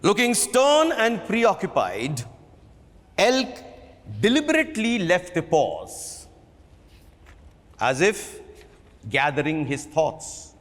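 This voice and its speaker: A man with an Indian accent giving a theatrical, measured dramatic reading of a biography in a hall. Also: high voice, very animated, fairly bright tone. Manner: theatrical, measured storytelling delivery. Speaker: theatre performer reading at a book event. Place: live reading in an event hall. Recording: reverberant hall.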